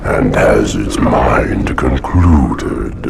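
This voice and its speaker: An actor voicing a vast, ancient alien hive-mind monster, solemn and menacing, speaking in slow oratory in a game cutscene. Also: male voice, extremely low pitch, expressive, gravelly, very noisy, exaggerated voice. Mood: solemn menace. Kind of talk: video game cutscene acting.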